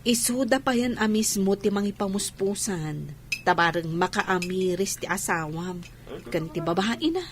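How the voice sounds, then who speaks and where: A woman's voice: mid-range voice, unhurried, slight background noise, close to an everyday voice. A woman playing a concerned, sympathetic friend and confidante in an Ilocano audio drama, speaking without hurry.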